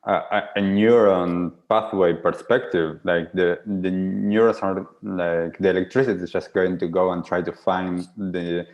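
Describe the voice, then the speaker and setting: A man's voice: low-pitched, fairly even intonation, unhurried, few pauses, dull audio. A man from Argentina, a native Spanish speaker, hosting a podcast and musing reflectively with many fillers. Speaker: podcast host. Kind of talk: conversational podcast interview.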